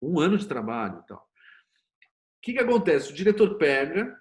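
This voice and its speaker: Higher-voiced Brazilian man explaining. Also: moderate pace. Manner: opinionated, animated storytelling with rhetorical questions and acted quotes. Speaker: screenwriter and series producer, interview guest. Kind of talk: conversational interview, explanatory.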